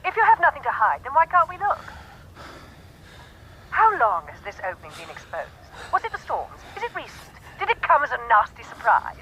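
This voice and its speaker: An actress playing a brisk, commanding woman film-maker in a naturalistic audio drama. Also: sits high, huge pitch swings, sharp tone, slight background noise, full character voice, low-quality recording. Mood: probing. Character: bossy, determined woman documentary film-maker.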